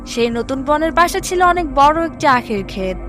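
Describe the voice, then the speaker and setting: High-pitched woman storyteller over music. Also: expressive, normal speed. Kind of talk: scripted children's fable narration.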